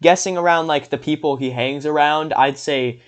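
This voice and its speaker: A man's voice: fairly high pitch, normal speed. A young man co-hosting a casual podcast over an online call, talking in a joking, rambling way. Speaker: podcast co-host. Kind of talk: casual chat.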